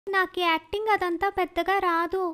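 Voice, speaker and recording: A woman voicing a modest, gentle young woman in a cartoon story. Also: very high-pitched, flat delivery, moderate pace, pitched above the performer's own voice. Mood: shy, polite.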